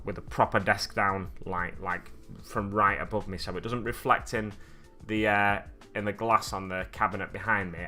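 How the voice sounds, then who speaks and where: A man with a lowish voice that still has a bright, forceful edge, slightly scratchy at the moment. He rambles casually through stories and chats with his viewers while painting at a home hobby desk. Faint music plays behind him. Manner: rambling storyteller, chatty with viewers. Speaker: streamer host. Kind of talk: casual livestream chat while painting miniatures.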